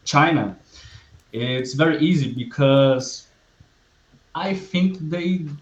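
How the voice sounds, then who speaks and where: Slow, halting man on a muffled line. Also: on the high side. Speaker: guest malware analyst. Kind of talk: conversational interview.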